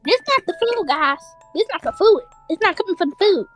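A woman's voice: very high voice, lively intonation, a little fast, fairly bright tone, faint music behind. A woman in an improvised game roleplay, playing a stubborn young woman, blunt and sassy. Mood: defensive.

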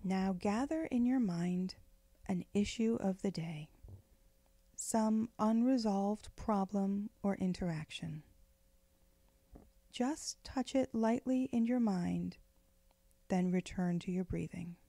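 A woman leading a guided meditation in a gentle, unhurried, soothing way, with phrases often trailing off into breath. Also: mid-range voice, no room sound. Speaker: meditation guide, spiritual director.

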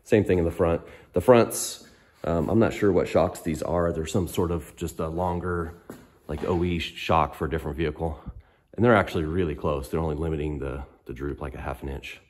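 A hoarse, very deep-voiced middle-aged man walks through his work in a garage workshop. He talks slowly and casually, pausing often with ums as he explains. The sound comes from a handheld phone camera. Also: fairly even intonation, darkish tone. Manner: unhurried, hesitant, many ums. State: hoarse from a cold. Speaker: off-road fabricator. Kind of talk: casual technical walkthrough.

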